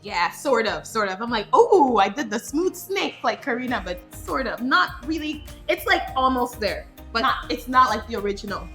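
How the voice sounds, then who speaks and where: A woman's voice: a little high, big pitch swings, normal speed, few pauses, sharp tone, reverberant, soft background music. A young woman co-hosting a pole dance lesson, giving safety tips in an upbeat, playful way. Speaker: pole dance instructor, co-host. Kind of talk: casual tutorial instruction.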